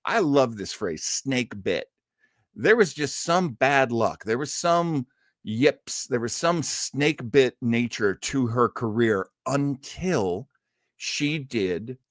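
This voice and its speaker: A man hosting a casual live talk in a chatty, halting way with wry asides. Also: medium pitch, a bit bright, dry recording, studio quality. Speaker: host. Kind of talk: casual live-stream talk on old radio comedy.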